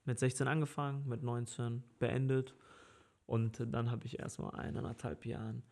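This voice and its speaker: A relaxed man in his thirties chats on a podcast about his early working life. He talks loosely, with plenty of filler words, and laughs easily. His voice sits at an ordinary middle pitch. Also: moderate pace, vocal fry. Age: 30s. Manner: easygoing, laughs often, many fillers. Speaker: party organizer. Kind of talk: casual podcast chat.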